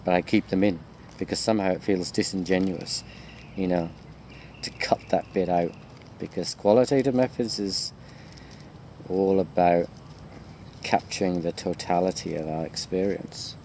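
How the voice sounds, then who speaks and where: A man with a low-pitched voice talks like a lecturer chatting to his students on a walk. He rambles along in a conversational, self-deprecating way. Faint insect and cricket noise sits behind him, as in an outdoor recording. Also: normal speed, pauses often, dark tone. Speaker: university lecturer. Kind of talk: informal walking video chat to students.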